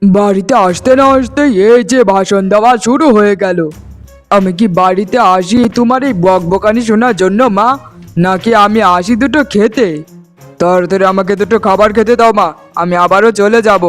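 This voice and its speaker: A man's voice: high-pitched, normal speed, faint music behind, close to an everyday voice. A man voicing an irritable, selfish grown son and neglectful husband in a cartoon, impatient and grumbling. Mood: irritated. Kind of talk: cartoon voice acting in a moral story.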